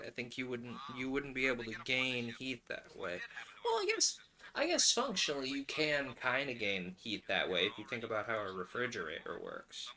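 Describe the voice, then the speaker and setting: Male livestream host, bright tone, casual and rambling, wry humor, chatting while sewing. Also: mid-range voice, low-quality recording. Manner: wry, rambling, playful asides.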